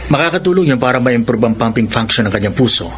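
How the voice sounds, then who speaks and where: A Filipino actor playing a calm, clinical male doctor explaining things in a Tagalog radio drama. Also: medium pitch, quick pace, slight background noise, lightly acted. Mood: grave, explaining. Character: calm, matter-of-fact male heart doctor and family friend. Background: music.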